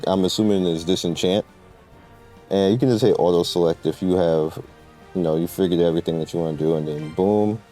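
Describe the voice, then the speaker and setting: A man's voice: deep voice, mellow. A man giving casual, informal gameplay commentary, rambling through his explanations in slangy language as he guides viewers. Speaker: gamer presenting a game guide.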